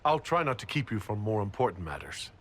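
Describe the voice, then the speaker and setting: An actor playing a calm, polite male military leader in a video game, courteous with a dry manner. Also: on the low side, quick pace. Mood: polite, composed. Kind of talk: video game cutscene acting.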